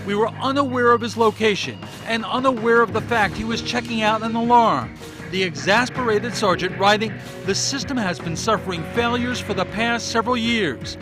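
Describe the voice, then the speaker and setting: A man's voice: sits high, moderate pace, over music, low-quality recording. An American man working as a television reporter, reading scripted investigative news narration.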